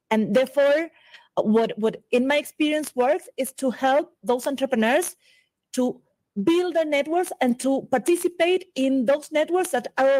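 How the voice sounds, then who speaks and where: A woman in her forties from Argentina, a native Spanish speaker, giving a conference lecture in English with an Argentine accent. She talks fast and often restarts and repeats words as she goes. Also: sits high, a little mellow, no echo, low-quality recording.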